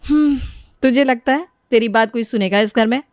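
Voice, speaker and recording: A woman voicing a weary, practical elder sister-in-law in an animated family story, wry and complaining. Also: fairly high pitch, moderate pace, no room sound, clean studio sound, lightly acted.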